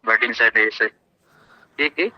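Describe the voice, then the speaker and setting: A man's voice: medium pitch, normal speed, no room sound. A man with a Bangladeshi accent chatting casually and insistently on a slightly dull, noisy phone call.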